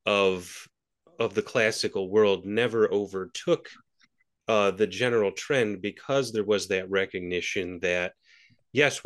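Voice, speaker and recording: Low-voiced man explaining history thoughtfully. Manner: measured, clears throat, restarts.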